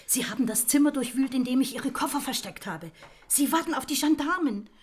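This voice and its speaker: An actress in a radio drama playing a loyal country innkeeper, frightened and urgently warning in a breathy manner. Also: female voice, high voice, lively intonation, brisk, a little hiss or hum. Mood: alarmed, urgent.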